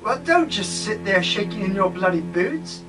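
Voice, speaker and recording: A man playing a brisk, bossy doctor in an audio drama, theatrical and commanding. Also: high voice, expressive, recorded from a distance, music in the background. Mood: exasperated.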